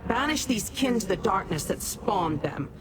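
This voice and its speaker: A woman's voice: medium pitch, animated, moderate pace, background noise, lightly acted. A woman playing a haughty, condescending immortal schemer in a fantasy video game, with a theatrical manner. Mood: commanding. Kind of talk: video game character acting.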